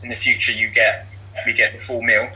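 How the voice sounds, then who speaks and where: A man speaking as a teacher guest in a casual podcast discussion, explaining earnestly and haltingly, often repeating phrases. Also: medium pitch, level tone, over a noisy crowd, low-quality recording. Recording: faint background noise.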